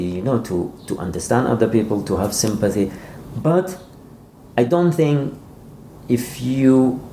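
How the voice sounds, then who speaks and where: Male interviewee, dark gravelly tone, halting conversational delivery, echoey room with faint noise. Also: fairly low pitch. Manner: halting, repeats words as he searches for phrasing. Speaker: interviewed author and publisher. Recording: roomy echo, faint background noise.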